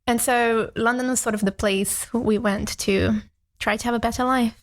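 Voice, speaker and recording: A woman's voice: fairly high pitch, fairly even intonation, moderate pace. A young woman from Brazil whose first language is Portuguese, chatting reflectively as a podcast guest and laughing often. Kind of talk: casual interview chat.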